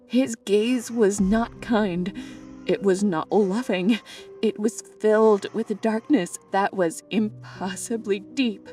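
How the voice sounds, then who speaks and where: A woman playing a traumatized, meticulous archivist, narrating a psychological horror drama in a brooding, deliberate way. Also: medium pitch, a little mellow, close to an everyday voice. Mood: haunted, bleak. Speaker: narrator and lead character of an audio drama.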